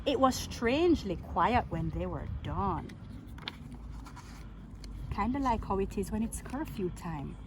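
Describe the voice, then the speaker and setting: A woman reading a picture book aloud to children in an expressive, playful way, drawing out words and asking her listeners questions. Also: medium pitch, noisy background. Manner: theatrical, playful, with drawn-out words and exclamations.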